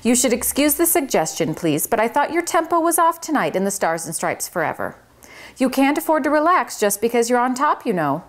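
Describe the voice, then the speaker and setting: A woman reading aloud and voicing a fussy, excitable male mouse who bosses his friends about. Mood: fussy, insistent. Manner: bossy, quick to fluster, squeaky. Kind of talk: children's book read-aloud.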